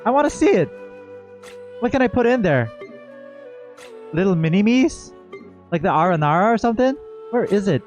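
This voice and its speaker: A man with a slightly high voice chats casually as a game streamer. He is expressive and leans on repeated words for emphasis, with music playing behind him. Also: moderate pace, pauses often. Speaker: gaming streamer. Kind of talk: casual livestream game reaction.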